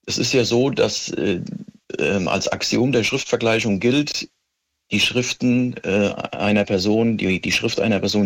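A middle-aged man answering interview questions as a police expert, explaining in a methodical, precise and measured way. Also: lowish voice, a bit bright, breathy edge.

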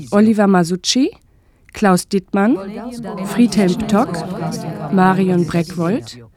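A woman reading radio drama credits as a scripted broadcast announcement. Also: medium pitch.

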